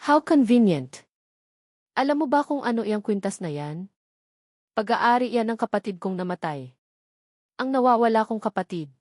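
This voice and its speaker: A woman's voice: a little high, lively intonation, moderate pace, pauses often, darkish tone, no echo. Woman doing a cold angry young rich man, clipped accusing lines, dry close sound. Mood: cold fury. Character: cold, furious young heir of a rich family, male.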